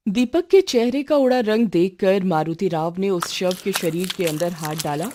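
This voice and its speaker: A woman's voice: lowish voice, moderate pace, slight background noise. A woman narrating a scripted horror story. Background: loud music.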